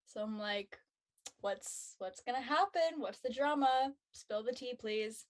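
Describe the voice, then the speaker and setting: A woman's voice: fairly high pitch, lively intonation, measured pace. A woman chatting casually as a panel guest, jumping from one thought to the next. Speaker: actor on a drama panel. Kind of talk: casual chat.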